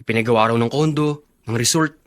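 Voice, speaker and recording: A curious Filipino man played by an actor with a middle-pitched voice. He plays the gossipy friend in radio drama style. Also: fast, close to an everyday voice. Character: curious, gossipy male acquaintance of politician's son.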